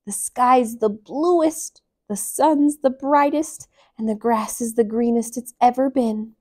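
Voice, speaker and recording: A gushing, boastful lady elephant from a storybook, voiced by a woman reading aloud. She piles up superlatives in a high, loud, bouncy voice. Everything comes out as an exclamation. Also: slow pace. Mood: exuberant. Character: boastful, excitable female elephant.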